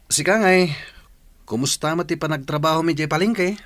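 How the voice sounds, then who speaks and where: A man's voice: on the high side, normal speed, a bit bright, no room sound, lightly acted. An actor playing a cocky, evasive young ladies' man in an Ilocano radio drama. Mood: breezy. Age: young adult.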